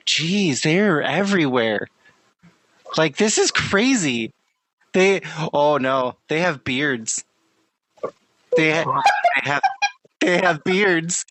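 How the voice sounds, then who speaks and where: Co-host, unhurried reader of notes, filler-heavy, slow pace, dry room. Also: medium pitch, a bit bright, no echo. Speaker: podcast co-host. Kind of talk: casual chat, reading out research.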